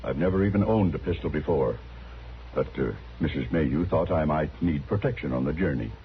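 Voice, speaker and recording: An actor playing a courteous, protective husband, speaking stiffly and politely in an old Western radio drama. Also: male voice, rumbling low. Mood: apologetic, polite. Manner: stiff, polite, measured wording. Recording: muffled, faintly noisy old radio recording.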